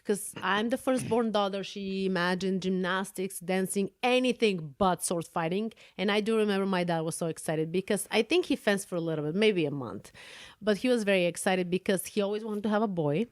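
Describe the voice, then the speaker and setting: A woman's voice: medium pitch, a little fast, dry recording. Bulgarian-accented woman, forties, chatty podcast co-host, dry close mic. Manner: chatty, laughs often, searches for words. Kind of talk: casual storytelling.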